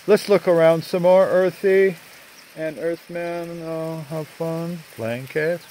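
An older man narrating a rambling video outdoors among trees, talking in a halting way with many fillers, recorded on a handheld phone. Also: a little high, fairly even intonation, a little mellow, slight background noise. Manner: slow, halting, full of uh fillers. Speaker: vlogger. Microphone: phone at arm's length.

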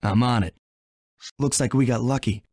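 An actor playing a cocky, wisecracking young thief in a video game, with a breezy, wry delivery. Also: male voice, mid-range voice, normal speed, darkish tone, husky, natural voice. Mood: breezy, confident.

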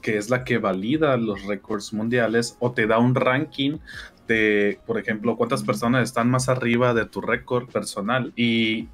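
A man's voice: medium pitch, steady tone, normal speed, fairly bright tone. A man in his twenties, a speedrunner guest explaining at length and patiently. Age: 20s. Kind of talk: casual explanation.

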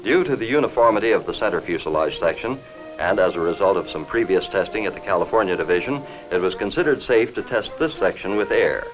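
Male American narrator, lowish voice, formal 1950s newsreel delivery, measured and factual, music underneath. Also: lively intonation, poor audio. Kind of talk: 1950s scripted industrial film narration. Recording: vintage 1950s film soundtrack.

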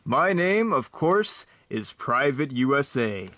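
A man's voice: medium pitch, sing-song, takes it slowly, pushed and bright, no room sound, full character voice. A man voicing a boastful, clueless superhero who rambles and bumbles.

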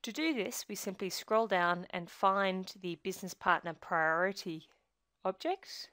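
A woman reads a scripted screencast tutorial as its presenter. Her pitch sits in the middle range, and she gives instructions in a measured, step-by-step way. The sound is dry and close, as a voice-over. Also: a bit bright, no echo.

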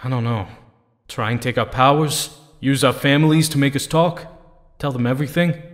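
A steady young hero played by a man in an audio drama. He is measured and serious at a middling pitch, with a long reverberant tail on his voice. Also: natural voice. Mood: reassuring, uncertain. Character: steady, reassuring young male captive hero. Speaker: voice actor.

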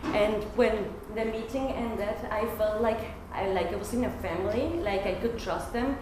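A woman's voice: medium pitch, normal speed. A young woman from Mexico giving an earnest class presentation at a lectern, telling anecdotes with light humor. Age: young adult. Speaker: student presenter. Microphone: far camera. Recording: echoey classroom with hiss.

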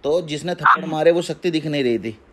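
Higher-pitched man giving instructions. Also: fast, pushed and bright. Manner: instructive, repeats instructions and checks understanding. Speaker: tantric guru advising a caller. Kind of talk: casual phone consultation. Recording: phone call recording.

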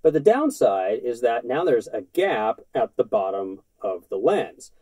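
Man in his 30s, earnest gear explainer; mellow tone, lively pitch, dry close sound. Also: measured pace, slightly rough, no echo. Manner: earnest, matter-of-fact, steady delivery. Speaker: outdoor gear vlogger. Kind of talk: scripted explainer to camera. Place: indoors in front of a brick-pattern backdrop.

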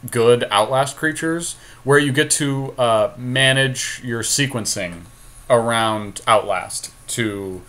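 A man's voice: mid-range voice, slow, a bit bright. A man giving casual game commentary as a co-host, thinking aloud in an analytical way. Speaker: podcast co-host playing a card game.

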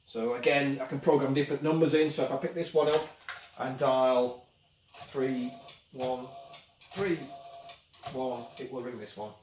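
A man's voice: medium pitch, fairly even intonation, a little slow, pauses often, very noisy. Middle-aged man, casual demo presenter, plain-spoken explaining, roomy echo, slightly distant microphone. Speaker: presenter demonstrating a product. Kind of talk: casual unscripted product demo. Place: small office workshop, indoors. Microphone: camera a few metres away.

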